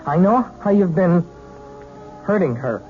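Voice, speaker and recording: An actor playing an earnest, lovestruck twenty-year-old piano student in a 1970s radio drama, halting and intense. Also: male voice, high-pitched, animated. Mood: nervous, defiant. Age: young adult. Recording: tinny, muffled old radio broadcast.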